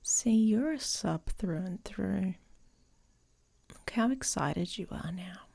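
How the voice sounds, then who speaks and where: A woman playing a dominant, teasing female werewolf in an intimate ASMR audio roleplay, with a breathy, whispery voice and a commanding manner. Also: medium pitch, expressive, slow pace, warm tone, exaggerated voice. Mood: seductive, commanding.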